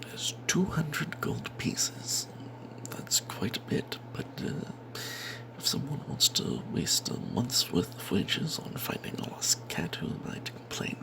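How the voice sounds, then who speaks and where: A sly, money-minded cleric adventurer, acted by a man, whispers his fantasy tale in a slow, breathy voice. The tone is confiding and sardonic, with a crackly fry at the edges and hesitant asides. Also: medium pitch, huge pitch swings, full character voice. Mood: snide, calculating. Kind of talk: first-person fantasy tale told in whispers, ASMR.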